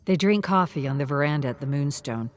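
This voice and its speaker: A woman reading a scripted story in a dramatic, deliberate way, at times dropping to a hushed tone. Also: low-pitched, animated, slight background noise. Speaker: narrator.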